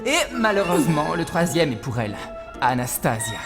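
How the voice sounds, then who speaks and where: A man dubbing a sly, smooth-talking young male con artist in an animated film. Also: a little high, moderate pace. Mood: eager persuasion. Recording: long hall reverb, film music behind.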